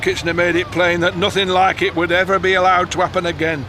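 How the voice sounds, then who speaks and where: Radio drama: blunt, teasing Northern English newspaper editor, high-pitched, with clear vehicle noise behind. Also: male voice, flat delivery, fast talker, pushed and bright. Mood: sly, explaining. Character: blunt, canny newspaper editor, man, of the 1910s. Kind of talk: audio drama acting. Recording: noisy moving-car ambience behind.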